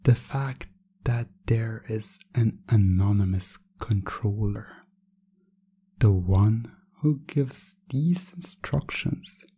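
A man narrating a scripted ASMR hypnosis track softly and deliberately, often slipping into a whisper, with measured pauses. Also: fairly low pitch, husky. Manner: slow, soft, partly whispered, deliberate pauses.

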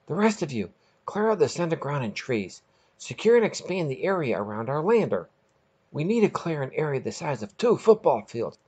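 Guy doing a bossy lady space captain in a story, barking orders, raspy, pitch jumps around. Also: sing-song, normal speed, darkish tone. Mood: brisk, commanding. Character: commanding female space captain, brisk and authoritative. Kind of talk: short story reading.

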